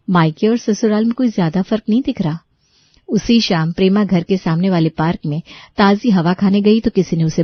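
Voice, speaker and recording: A woman's voice: medium pitch, no room sound, lo-fi sound. A woman storyteller reading scripted narration for a family moral story.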